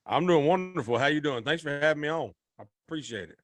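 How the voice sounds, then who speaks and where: A man in his thirties from Arkansas, a guest singer telling his story in a relaxed, rambling, folksy way, often correcting himself. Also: a little high, fast talker, no room sound.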